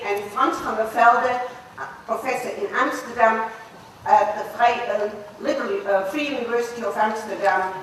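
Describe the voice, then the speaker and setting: A woman organizer giving a formal introduction to a lecture, halting and correcting herself now and then. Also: fairly high pitch, a bit bright. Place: large lecture hall. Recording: echoey hall, distant mic, faint noise.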